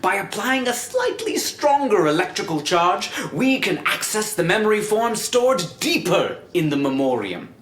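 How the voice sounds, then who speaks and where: Pompous scientist pitchman, high bright voice, theatrical delivery, echoing hall. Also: big pitch swings, moderate pace, few pauses, slight background noise. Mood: proud, grandiose. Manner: theatrical, grandiose, salesmanlike. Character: pompous male scientist showman unveiling an invention. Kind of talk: scripted audio drama stage presentation. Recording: roomy, echoing hall sound.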